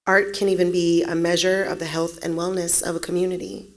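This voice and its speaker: A woman moderating a community panel, reading earnest, measured opening remarks. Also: on the low side, flat delivery.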